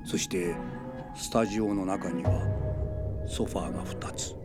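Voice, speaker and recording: A man's voice: sits low, a little slow, over loud music. An actor playing an earnest, easily astonished middle-aged father in a radio drama. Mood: earnest recounting. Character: excitable, bewildered middle-aged father telling what he witnessed.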